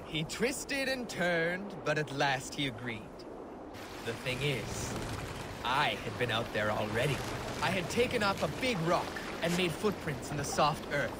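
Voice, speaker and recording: An actor playing a boastful, earthy Viking crewman spinning teasing yarns with dramatic pauses in a video game. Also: fairly high pitch, lively intonation. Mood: amused storytelling. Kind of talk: leisurely storytelling in a video game. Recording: noisy boat and water ambience.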